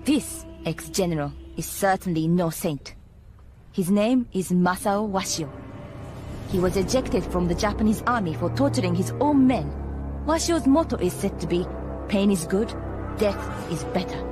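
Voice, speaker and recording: A woman's voice: mid-range voice, animated, lightly acted. Actress as a cool, wry female agent. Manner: measured, wry, briefing delivery. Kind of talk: video game cutscene acting. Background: music.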